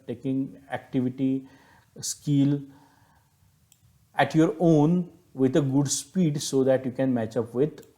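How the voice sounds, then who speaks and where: A man's voice: mid-range voice, mellow. A man with an Indian accent giving an explanatory lecture, stressing key words and rephrasing his points in a didactic way. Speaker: teacher, lecturer.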